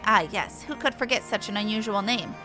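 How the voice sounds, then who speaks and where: A sly little moogle boss from a game, acted out by a woman, theatrical and affected. The voice is bright and mock-apologetic, feigning innocence, with soft music underneath. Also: medium pitch, a little fast, natural voice, poor audio. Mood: mock remorse.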